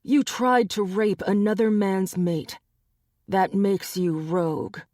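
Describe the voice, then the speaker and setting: A woman voicing a cold, dangerous adult male shapeshifter enforcer in an audiobook, speaking with low threat. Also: takes it slowly, darkish tone, dry recording, clean studio sound, natural voice. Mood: menacing.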